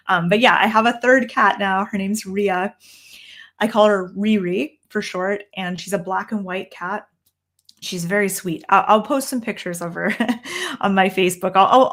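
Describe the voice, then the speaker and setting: A woman's voice: medium pitch, gravelly, fairly bright tone. Chatty Canadian woman on a livestream. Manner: chatty, thinks aloud with frequent ums and restarts. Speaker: tarot reader and livestream host. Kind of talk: casual livestream Q&A. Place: downstairs room at home.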